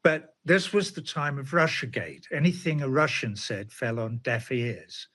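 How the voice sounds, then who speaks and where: A man's voice: mid-range voice, lively intonation, measured pace, fairly bright tone. A man delivering a spoken essay monologue in a sardonic tone, often asking himself rhetorical questions. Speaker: commentator.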